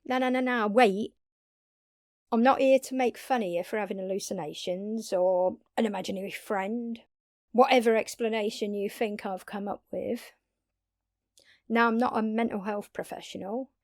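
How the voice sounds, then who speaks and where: A woman playing an earnest, blunt, long-lived vampire in an intimate audio drama, speaking haltingly and pleadingly with dramatic pauses. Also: mid-range voice, darkish tone, no echo, clean studio sound, natural voice. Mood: defensive, pleading. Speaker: voice actor.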